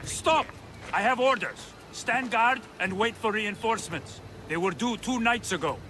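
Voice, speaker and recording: A man's voice: high-pitched, animated, unhurried, bright, forceful tone, a little hiss or hum, full character voice. A man playing an embattled, skeptical soldier standing guard in a video game, brusque and challenging. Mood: suspicious, brusque. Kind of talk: cinematic video game acting. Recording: music under the voice.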